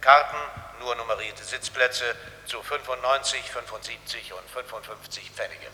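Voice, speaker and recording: An actor playing a strident 1930s propaganda theatre announcer, declaiming a poster in a radio play, with long reverb. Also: male voice, medium pitch, moderate pace, bright, forceful tone. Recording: long reverberant hall echo.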